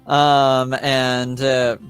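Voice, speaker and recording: Male game master, unhurried and clear-voiced, halting improvised narration over music. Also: mid-range voice, completely flat delivery, a bit bright. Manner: hesitant, searching for words. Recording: music behind the voice. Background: background music.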